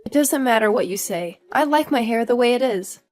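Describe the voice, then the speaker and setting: A woman's voice: fairly high pitch, expressive, fairly quick, soft background music, natural voice. A woman playing an earnest young daughter-in-law who is polite but firm, in a scripted audio story. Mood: indignant. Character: earnest, indignant young daughter-in-law standing her ground.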